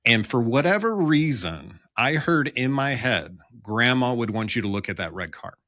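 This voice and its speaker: A man's voice: medium pitch, big pitch swings, normal speed, a bit bright, dry recording, studio quality. An American man telling a personal story conversationally, in a candid and wry way. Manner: candid, wry, acts out dialogue in his account. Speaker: police officer telling his own story as podcast guest.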